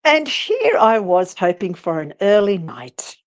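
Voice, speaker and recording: Woman acting a sassy, slow-spoken psychic investigator. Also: mid-range voice, very animated. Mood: sardonic, brisk. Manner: sardonic, bossy delivery. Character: sassy, brisk female psychic ghost investigator. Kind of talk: live dramatic reading of paranormal romance.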